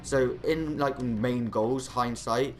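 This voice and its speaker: A man's voice: mid-range voice, steady tone, takes it slowly, slight background noise. A young man with a stuffed nose giving chatty, rambling commentary over his gameplay, explaining tower placement tips. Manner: chatty, rambling, lots of "like" and restarts. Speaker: gaming channel host.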